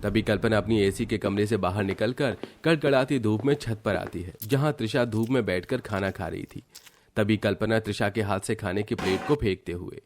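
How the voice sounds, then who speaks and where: A man giving scripted narration for an animated family story. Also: lowish voice, moderate pace, a little hiss or hum.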